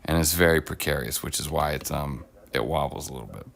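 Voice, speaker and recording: Streamer host, middle-aged man, gravelly low voice a bit rough from illness, rambling upbeat chat, phone mic at home. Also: fast, fairly bright tone. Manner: rambling, upbeat, self-deprecating. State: voice deepened while getting over an illness. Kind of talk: casual livestream chat while painting miniatures. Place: home, at a table. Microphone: phone on a clamp arm.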